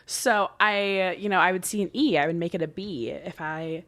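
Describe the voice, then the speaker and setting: Talkative young woman, lowish strained voice. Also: moderate pace. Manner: talkative, animated storyteller. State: voice strained, close to losing it. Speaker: podcast co-host. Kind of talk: casual chat.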